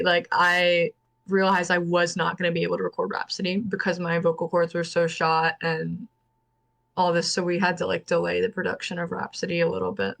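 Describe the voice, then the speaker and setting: A woman's voice: on the low side, vocal fry, fairly bright tone. Young woman chatting casually on a call. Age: young adult. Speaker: band vocalist and clarinetist. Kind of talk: casual interview over a call.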